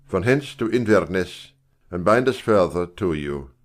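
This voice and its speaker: A man's voice: sits low, expressive, unhurried. A man playing a gracious, grateful king in a dramatic Shakespearean reading, speaking in a stately, declamatory way. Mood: solemn proclamation. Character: gracious, grateful old Scottish king bestowing honours.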